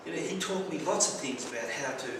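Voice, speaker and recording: A man's voice: fairly high pitch, expressive, fast talker. A man from Australia with an Australian accent gives a prepared personal speech, telling stories with wry humour and some stumbling repeats. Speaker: club speaker. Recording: very echoey room, distant mic, clear background noise.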